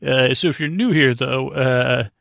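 A man hosting a bedtime podcast in a creaky, lulling voice, meandering and halting as he repeats and interrupts himself. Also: medium pitch, a bit bright, no room sound, studio quality. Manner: lulling, soothing. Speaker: podcast host. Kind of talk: rambling, improvised bedtime podcast monologue.

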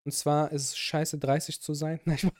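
A man's voice: on the high side, level tone, normal speed, a little mellow. A man hosting a podcast in casual chat, joking and laughing often, with many fillers and restarts. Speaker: podcast host.

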